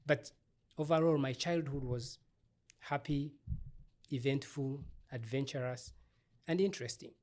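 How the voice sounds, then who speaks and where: A middle-aged man from Uganda, an obstetrician-gynecologist, telling his life story in an interview in a thoughtful, measured way with small restarts. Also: mid-range voice, a little mellow.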